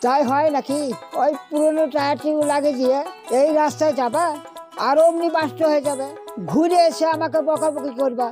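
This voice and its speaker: Guy doing older village bike fixer, really high voice, firm n matter-of-fact, music behind. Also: fairly even intonation, brisk. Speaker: actor. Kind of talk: amateur family drama acting.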